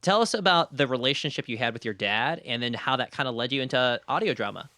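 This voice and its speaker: A man's voice: mid-range voice, animated, fast, pushed and bright, no echo. A man speaking as a podcast host and interviewer, presenting and asking questions in a studio. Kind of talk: scripted podcast intro and interview. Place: recording studio.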